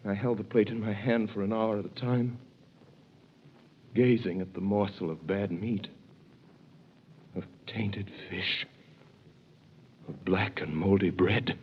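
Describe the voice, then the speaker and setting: A man narrating an old radio drama in the first person, grave and measured. His voice sits fairly low. The old recording is dull, with a faint hiss underneath. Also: steady tone. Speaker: narrator playing the hero.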